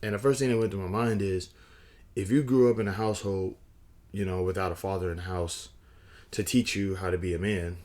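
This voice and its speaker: A hoarse man vlogging casually, rambling with frequent restarts and a wry, conversational manner. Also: sits low, steady tone, fairly quick, darkish tone. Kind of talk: casual talking-head vlog.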